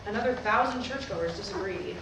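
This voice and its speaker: A woman acting a pragmatic, brisk pastor's wife in a staged play reading. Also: mid-range voice, natural voice. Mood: brisk, insistent. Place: theatre at a live staged reading. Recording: echoey, noisy hall recorded from far off.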